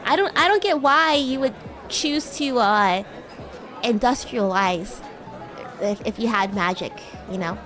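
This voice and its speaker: A woman's voice: a little high, lively intonation, a little slow, over music, crowd noise. A woman streamer voicing a bratty, haughty yet sweet young demon classmate in improvised, teasing roleplay chat. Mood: smug, curious. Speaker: streamer, VTuber host.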